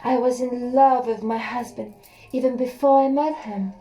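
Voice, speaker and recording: A woman reading a first-person story aloud as an audiobook, soft and unhurried, sometimes sinking to a near whisper. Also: on the high side, fairly even intonation, muffled recording, faint music behind. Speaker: audiobook reader.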